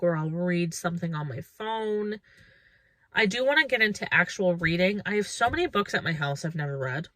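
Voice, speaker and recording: A woman's voice: lowish voice, sharp tone, no echo. A woman of about thirty chatting casually and reflectively to her viewers as a lifestyle vlogger, informal and thinking aloud.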